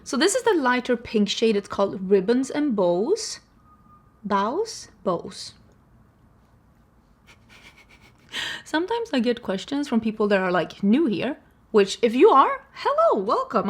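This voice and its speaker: A woman with a Swedish accent talks her way through a makeup tutorial, chatty and quick to laugh at herself. Her voice sits on the high side and swoops around a lot. She is a native Swedish speaker talking in English. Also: big pitch swings, breathy edge. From Sweden. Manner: chatty, self-deprecating, laughs often. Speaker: beauty vlogger. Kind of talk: casual makeup tutorial chat.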